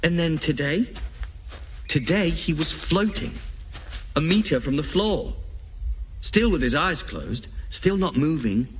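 A man's voice: fairly high pitch, lively intonation, takes it slowly, long pauses, slight background noise. An actor playing a frustrated young male apprentice, narrating in the first person in an audio drama.

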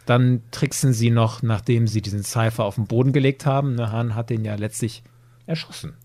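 Chatty man with a medium-pitched voice. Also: fairly even intonation, fairly quick, mellow. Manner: chatty, informal, checking in with tag questions. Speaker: podcast co-presenter. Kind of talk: casual podcast discussion, retelling a story.

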